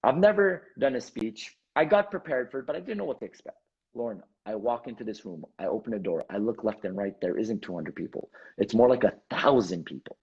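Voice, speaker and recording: Montreal guy, armenian first language, husky voice, acts out the dialogue as he tells his story, dull lo-fi video call sound. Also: fairly low pitch, lively intonation, brisk, a little mellow. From Montreal, Canada. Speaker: guest, speaker and coach. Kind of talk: storytelling interview.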